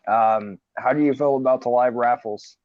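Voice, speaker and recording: Co-host, early 30s man, slangy and upbeat, mid pitch, dry muffled call audio. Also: moderate pace, no room sound. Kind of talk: casual livestream chat.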